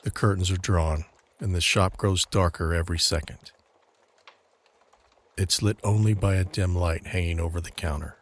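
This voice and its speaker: A man giving measured, suspenseful scripted narration for an audio drama. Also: low-pitched, steady tone. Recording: clear background ambient noise.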